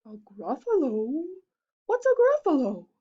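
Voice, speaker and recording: A woman's voice: wildly swooping pitch, unhurried, lo-fi sound, full character voice. A woman voicing a hooting male owl in a storybook read-aloud. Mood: inviting. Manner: hoots, shrill.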